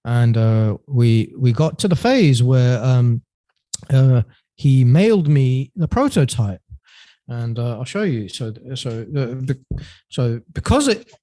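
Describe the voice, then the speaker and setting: Warm-voiced man telling his story. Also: mid-range voice, very animated, takes it slowly, dry recording. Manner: earnest, rambling, lots of restarts and "right?". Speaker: podcast guest. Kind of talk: storytelling in an interview.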